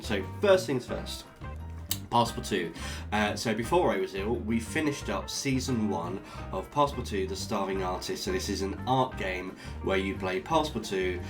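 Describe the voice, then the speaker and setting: A man hosting a casual drawing vlog, chatty and jokey as he sketches and talks. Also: fairly low pitch. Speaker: art channel host. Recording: guitar music playing underneath. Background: guitar music.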